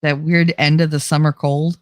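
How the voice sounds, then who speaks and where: Chatty low-voiced woman, slightly nasal. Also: level tone, moderate pace, no echo, studio quality. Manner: rambling, chatty, laughs often. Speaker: livestream host. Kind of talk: casual chat reading live comments. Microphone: close desk microphone.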